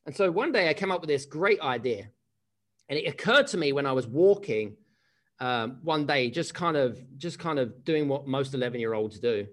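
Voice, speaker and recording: A man's voice: mid-range voice, animated, moderate pace. A man, giving a chatty motivational keynote with many restarts and questions to the audience. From England. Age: 30s. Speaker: keynote speaker.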